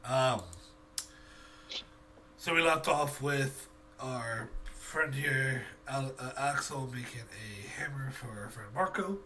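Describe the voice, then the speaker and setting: A man narrating a tabletop game as game master, halting and searching for words as he improvises. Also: medium pitch, sharp tone. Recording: distant mic with faint noise.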